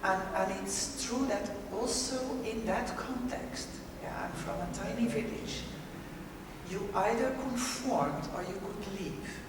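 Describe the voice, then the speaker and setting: A woman's voice: medium pitch, takes it slowly. Belgian-born woman speaking in an echoey hall. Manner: thoughtful, repetitive restarts. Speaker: discussion participant. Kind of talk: spontaneous discussion. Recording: echoey hall, distant mic, background noise.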